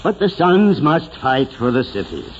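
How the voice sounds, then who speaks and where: Slow, sentimental old small-town mayor. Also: male voice, fairly high pitch, sing-song. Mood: grieving, tender. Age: older. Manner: oratorical, solemn, drawn-out phrasing. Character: elderly small-town mayor, sentimental, patriotic, grieving orator. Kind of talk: 1940s radio drama acting. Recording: muffled, hissy 1940s radio recording.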